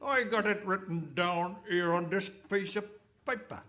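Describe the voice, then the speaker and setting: A man voicing a good-natured, slow-witted boy scout who speaks earnestly in an absurd radio comedy. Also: high-pitched, pushed and bright. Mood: earnest, dim. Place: hall stage, live performance.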